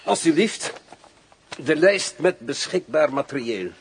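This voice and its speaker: An actor playing a wary, suave general in a radio drama, speaking in a theatrical, insinuating way. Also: male voice, on the high side, lively intonation, slow. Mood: cunning, businesslike. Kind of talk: Dutch radio drama acting. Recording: noisy old radio drama recording.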